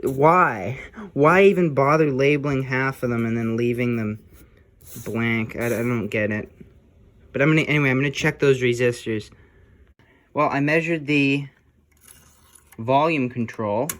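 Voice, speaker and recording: A man chatting casually and unscripted as he works on a repair, speaking in a halting, muttering way like a hobbyist thinking aloud. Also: mid-range voice, a bit bright. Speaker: hobbyist restoring old electronics. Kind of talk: casual unscripted talk while working on a repair.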